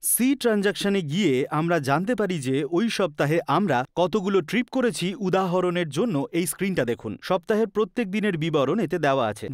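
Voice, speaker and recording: A man's voice: high voice, no echo, clean studio sound. A man reading scripted instructional narration for a training film.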